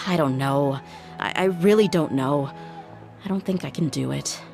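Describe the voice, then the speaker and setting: A woman's voice: a little slow, gravelly, faint music behind. A woman voicing a blunt, tough female mercenary in a video game, speaking firmly and plainly. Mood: troubled. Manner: blunt, firm, low-key.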